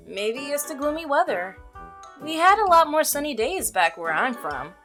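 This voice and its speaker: Woman reading as a cheery rambling milkman guy from a visual novel, lively up and down voice. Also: sits high, normal speed, soft background music. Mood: upbeat chatter. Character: chatty friendly young male milkman. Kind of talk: reading game dialogue aloud.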